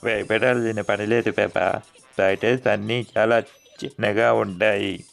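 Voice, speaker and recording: A man's voice: fairly low pitch, steady tone, a little slow, gravelly, faint music behind. A man voicing a kindly but nervous father pig in a children's cartoon, hesitant and soothing. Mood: reassuring.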